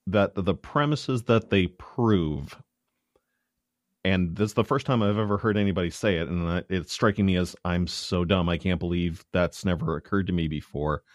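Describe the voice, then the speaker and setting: Very deep-voiced man in a dry room. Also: normal speed, dry recording. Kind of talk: casual podcast interview.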